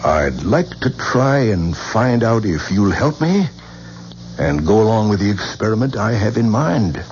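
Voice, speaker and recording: An actor playing a probing, commanding professor with an incisive, precise manner in a 1970s radio drama. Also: male voice, on the low side, very animated, measured pace. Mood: probing, challenging. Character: probing, commanding male professor running an uncanny experiment. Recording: dull old radio recording, faint noise.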